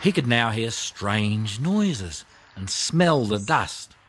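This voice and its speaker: A man narrating a children's audiobook in an expressive storytelling manner. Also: on the low side, takes it slowly, a little hiss or hum.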